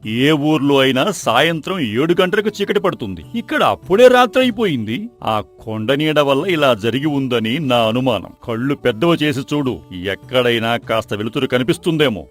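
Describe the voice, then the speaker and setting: A man voicing a bossy, scornful merchant in an animated moral story, gruff and commanding with his servant. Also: medium pitch, moderate pace, faint music behind, natural voice. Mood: scornful, puzzled.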